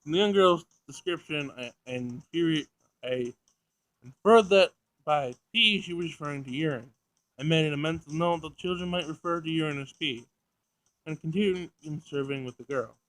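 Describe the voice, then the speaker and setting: A man's voice: on the high side, normal speed, a bit bright, dry recording, rough recording. A man hosting a visual novel read-along, narrating the text and reacting casually and animatedly. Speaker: gaming video host.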